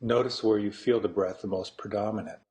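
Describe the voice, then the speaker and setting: Male meditation teacher, lowish voice, unhurried guided-practice delivery with deliberate pauses. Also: fairly even intonation, darkish tone. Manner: slow, deliberate, with long pauses between phrases.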